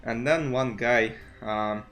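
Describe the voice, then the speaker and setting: A man's voice: mid-range voice, takes it slowly, a bit bright. Man, rambling streaming chat. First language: Russian. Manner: rambling, full of "you know" and restarts. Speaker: chess streamer. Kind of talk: casual commentary vlog. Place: home streaming desk setup. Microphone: desk streaming mic with webcam.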